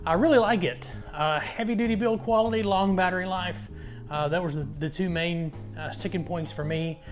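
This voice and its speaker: A man in his thirties giving a casual, informal review to camera as host, with a halting delivery. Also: sits high, soft background music. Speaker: product reviewer, channel host.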